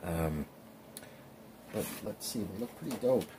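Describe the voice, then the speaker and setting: Relaxed low-voiced man narrating. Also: unhurried, noisy background. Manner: relaxed, chatty, frequent ums and uhs. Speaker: cycling vlogger. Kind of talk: casual voice-over narration.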